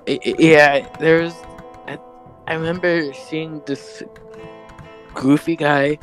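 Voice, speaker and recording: A man's voice: a little high, steady tone, slow pace, soft background music. A man playing a shaken, subdued survivor in an improvised Western game roleplay, hesitant and repeating words. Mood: shaken, recalling. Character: shaken, subdued male survivor of a bandit raid.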